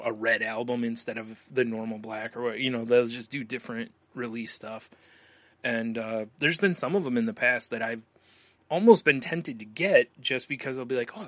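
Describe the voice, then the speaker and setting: Male podcast co-host, bright forward voice, rambling conversational delivery, very dry close recording. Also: mid-range voice, no echo. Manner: rambling, conversational, often says "you know". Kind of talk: casual chat.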